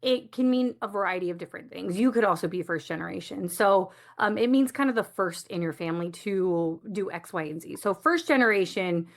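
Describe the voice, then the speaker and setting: A woman from the United States answers as a guest coach in an interview. Her voice sits at a middling pitch. She explains things in a reflective, conversational way, drawing on personal examples. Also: lively intonation, fairly quick, hardly any pauses. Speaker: guest, coach for first-generation community.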